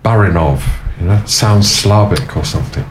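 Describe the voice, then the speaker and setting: A man's voice: fairly low pitch, normal speed, a little hiss or hum. A man hosting a casual radio show from a venue studio, rambling and making puns. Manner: rambling, punning, chuckly. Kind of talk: casual on-air chat. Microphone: omnidirectional studio mics.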